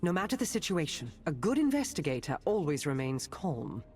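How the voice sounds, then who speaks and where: An actress with a British accent playing a poised, dutiful police detective and sharpshooter in a video game, speaking in a crisp, formal, commanding way. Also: female voice, deep voice, big pitch swings, fairly quick, darkish tone, soft background music. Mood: calm, determined. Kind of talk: video game champion voice lines.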